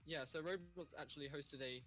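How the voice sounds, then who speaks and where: A young man from the United Kingdom, a guest developer, talking in a relaxed, hesitant way with frequent ums. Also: a little high, brisk, music in the background, poor audio. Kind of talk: casual interview chat.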